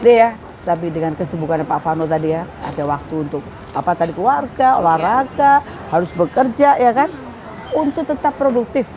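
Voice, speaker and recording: A woman host chatting eagerly, quick to affirm and repeat her guest's points. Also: on the low side, noisy background. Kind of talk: casual chat.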